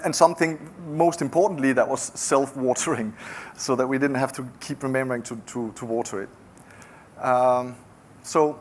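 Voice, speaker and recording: A middle-aged man from Denmark with a Danish accent, giving a conversational founder's talk with frequent restarts. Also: medium pitch. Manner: conversational, halting with many restarts. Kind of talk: conference talk.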